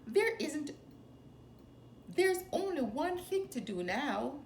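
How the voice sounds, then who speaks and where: A woman playing a gentle, simple, kindly male teddy bear in a children's storybook, earnest and mild. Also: high voice, big pitch swings, slow, roomy sound, slight background noise, pitched above the performer's own voice, exaggerated voice. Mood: decided.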